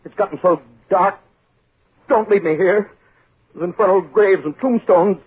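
A man's voice: high voice, measured pace, husky. An actor playing a pompous, irritable wealthy middle-aged man who blusters, pleads and repeats himself, in 1940s American radio horror style. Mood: panicked. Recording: muffled old 1940s radio recording.

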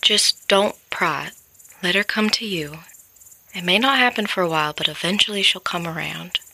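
An actress playing a sympathetic confidante in a naturalistic audio drama, raspy-voiced, gentle and reassuring. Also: female voice, on the low side, normal speed, dry recording, heavily acted. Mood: sympathetic, soothing. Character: sympathetic, soothing woman confiding with a troubled man.

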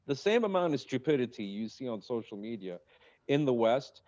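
A man working as a stand-up comedian, talking in a loose, rambling interview style that builds into animated rants. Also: medium pitch, normal speed, highs cut off. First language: English. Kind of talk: conversational interview. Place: in Egypt.

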